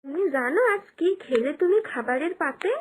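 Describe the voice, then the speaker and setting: A woman's voice: high voice, expressive, a little fast, slight background noise, poor audio. A woman voicing a confident, caring young wife and daughter-in-law in a moral-story cartoon, earnest and persuasive.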